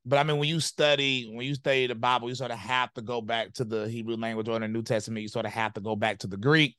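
A man's voice: mid-range voice, fairly even intonation, fast, a bit bright, clear, resonant tone, dry recording, studio quality. A man interviewing a guest, asking questions in a casual, hesitant way with many fillers.

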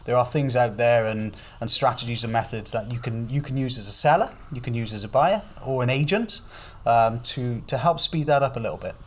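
A man co-hosting a property podcast, speaking in a measured way with a dry sense of humour. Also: medium pitch, a little hiss or hum. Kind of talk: casual podcast chat.

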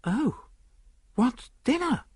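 An impatient, self-assured English gentleman played by a male narrator in a detective storybook. His delivery is earnest and insistent. The pitch moves up and down widely. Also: huge pitch swings, moderate pace, slightly rough, no room sound, clean studio sound, exaggerated voice.

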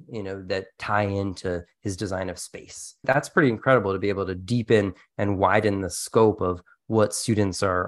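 Guy with a lowish voice cohosting an education podcast, earnest and explainy, chatty style. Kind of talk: conversational teaching-tips discussion.

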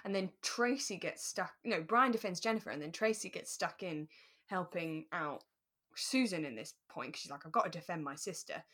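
A British woman co-hosting a relaxed chat podcast, telling stories with acted-out asides. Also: fairly low pitch, normal speed. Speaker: podcast co-host. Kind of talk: relaxed chat about a radio drama.

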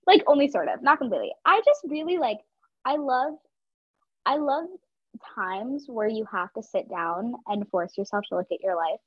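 A young woman from Georgia, co-hosting a podcast in a bubbly, excitable casual chat. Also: on the high side, moderate pace, dry recording. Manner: bubbly, excitable, talks with lots of fillers. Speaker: podcast co-host. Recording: dull lo-fi sound.

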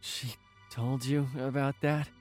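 A woman as a slow-spoken, worried young boyfriend. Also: a bass voice, steady tone, darkish tone, soft background music. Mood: hesitant worry. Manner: hesitant, sighing, awkward. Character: worried young boyfriend, male, hesitant and anxious. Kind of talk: naturalistic audio drama acting.

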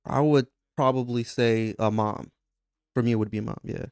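A young man co-hosting a podcast, calmly and thoughtfully explaining his view. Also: mid-range voice, normal speed, no room sound, studio quality. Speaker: podcast co-host. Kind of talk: casual podcast chat.

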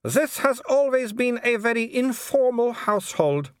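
A man's voice: very high-pitched, very animated, measured pace, clean tone, no room sound, clean studio sound, pitched above the performer's own voice, heavily acted. A man voicing a haughty, falsely sweet aristocratic baroness in a storybook reading, with prim, icily polite speech.

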